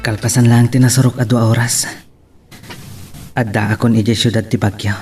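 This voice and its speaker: A man's voice: mid-range voice, level tone, moderate pace, a little mellow, soft background music, close to an everyday voice. A man playing an earnest, lovelorn young man narrating his love story in an Ilocano audio drama. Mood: reflective.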